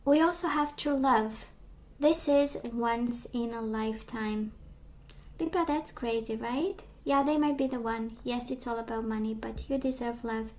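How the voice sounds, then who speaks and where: A woman giving a casual tarot reading, chatty and reassuring, her voice strained as she loses it and clears her throat. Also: a little high, a little slow, darkish tone. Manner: chatty, reassuring, many fillers and restarts. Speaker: tarot reader. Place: indoors at a table of tarot decks.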